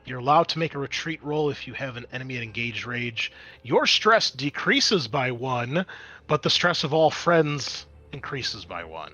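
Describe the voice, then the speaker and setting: A man's voice: medium pitch, expressive, moderate pace, pushed and bright, lo-fi sound. A man narrating a tabletop roleplaying game as game master in a casual, conversational way, swearing freely.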